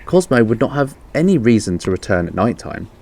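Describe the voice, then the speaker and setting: Man reading as a sensible aunt, pitch raised. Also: sing-song, a little fast, darkish tone. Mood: reasoning. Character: sensible, reasoning aunt weighing the evidence. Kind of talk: children's storybook character reading.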